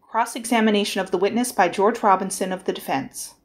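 A woman's voice: mid-range voice, fairly quick. A woman delivering formal scripted narration as the narrator of a trial reading.

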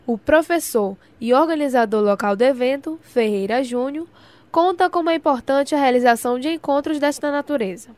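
A woman's voice: high-pitched, fairly quick, a little mellow, no room sound. A woman with a Brazilian accent reading a scripted radio news report as a reporter.

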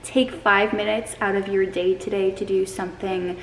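A young woman talks to a handheld camera in her kitchen, relaxed and chatty in a calm, even tone. Her voice sits at an ordinary middle pitch. A faint hum of room noise stays in the background. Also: normal speed, roomy sound, poor audio. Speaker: vlogger. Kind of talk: casual food vlog chat. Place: home kitchen. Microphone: camera held at arm's length.

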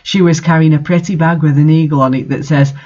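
A man with a notably high voice reads amateur fiction aloud. His delivery is theatrical and wry, and the recording is a bit dull and lo-fi. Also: fairly even intonation, fast talker, darkish tone. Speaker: host reading fan fiction aloud. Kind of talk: dramatic reading with casual asides.

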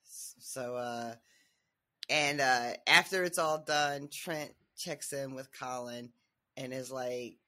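Bright-voiced man rambling through a recap. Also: mid-range voice, steady tone, takes it slowly. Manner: rambling, full of fillers and laughs. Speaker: podcast co-host. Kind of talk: casual recap chat about a TV episode.

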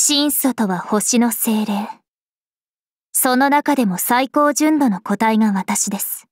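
Regal, slow-speaking vampire princess. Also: female voice, a little high, no room sound, clean studio sound. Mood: composed, aloof. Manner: formal, poised, measured. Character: regal, serene vampire princess spirit of the planet. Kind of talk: scripted mobile game character voice lines.

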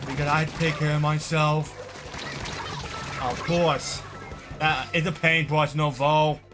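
A man's voice: a little high, flat delivery, takes it slowly. A man in his twenties chatting to his viewers while playing a game, rambling and repeating himself, with short bursts of frustration. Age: 20s. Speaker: streamer. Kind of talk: casual game commentary to chat. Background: game music.

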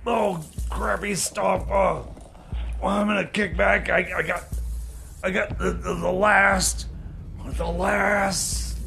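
Guy doing a flustered gravelly dj, really high voice, stutters over words, radio comedy, music behind him. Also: level tone, takes it slowly, bright, forceful tone, exaggerated voice. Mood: weary. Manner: stammering, repeats words, flustered. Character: flustered, long-suffering radio DJ, easily pained. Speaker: radio show host. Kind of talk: improvised comedy radio theater.

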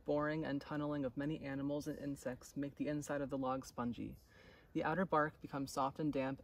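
Higher-voiced young man reading, off-mic outdoors. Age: young adult. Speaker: intern reading a storybook aloud. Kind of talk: read-aloud children's nature storybook. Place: outdoors on a forest trail. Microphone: camera or phone a short distance away. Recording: distant mic, faint outdoor noise.

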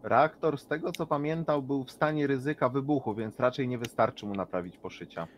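A man's voice: medium pitch, fairly quick. A man playing a tabletop role-playing game, casually debating with the other players. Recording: loud background noise with clicks.

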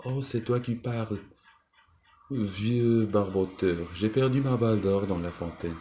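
A man's voice: on the low side, measured pace, mellow, a little hiss or hum, voice pushed up, close to an everyday voice. A man voicing a spoiled young princess in a storybook tale. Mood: dismissive.

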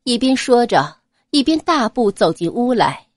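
A woman narrating an audiobook in an expressive, scripted storytelling style. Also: fairly high pitch, unhurried, no room sound, studio quality.

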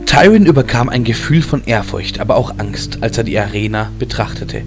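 A man's voice: fairly low pitch, animated, moderate pace. Man narrating a fantasy audiobook over music. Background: background film music.

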